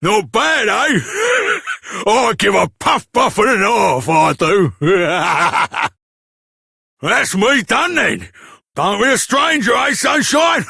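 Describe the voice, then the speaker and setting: An actress voicing a brash, cheeky working woman in a video game, rough-voiced and chummy, full of colloquial British slang. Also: mid-range voice, big pitch swings, extremely slow, bright, forceful tone, no echo, clean studio sound, heavily acted. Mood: cheeky, boisterous. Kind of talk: video game character acting.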